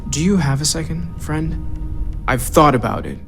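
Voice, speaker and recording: A man playing a friendly, gentle and playful companion in a video game. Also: a little high, animated, moderate pace, gravelly, music in the background. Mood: gentle, regretful. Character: friendly, gentle, playful believer, devoted son.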